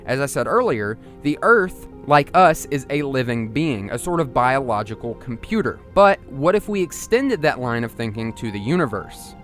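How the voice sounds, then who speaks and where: Male narrator, higher-middle everyday pitch, musing video essay delivery, explanatory, slightly conversational, music underneath. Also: very animated, moderate pace, few pauses, a bit bright.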